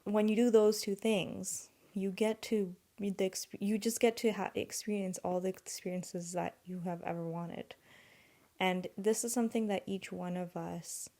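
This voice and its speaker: A woman's voice: medium pitch, fairly even intonation, measured pace, warm tone. A young woman whose first language is Urdu, hosting a solo podcast and thinking aloud in an earnest, rambling way. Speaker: podcast host.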